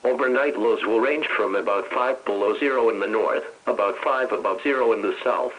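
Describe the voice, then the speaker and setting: A man's voice: fairly low pitch, fairly quick, dull audio. A synthetic American man's voice reading a scripted weather forecast in a formal, impersonal announcing manner. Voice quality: synthetic text-to-speech voice. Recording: weather radio broadcast reception.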